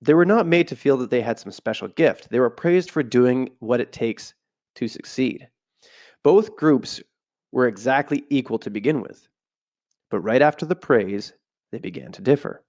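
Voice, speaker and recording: A man's voice: medium pitch, expressive, moderate pace, darkish tone. Stuffy-voiced man reading aloud at home. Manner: reads aloud, then breaks off into loose asides. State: stuffy from a cold. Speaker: podcast host. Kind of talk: casual podcast, reading from a book with side comments. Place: home room, not a studio.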